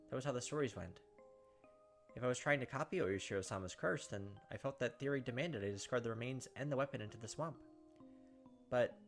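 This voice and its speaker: A man's voice: medium pitch, fairly bright tone. A man hosting a playthrough, reading a visual novel aloud as emphatic dramatic narration with casual asides. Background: game music.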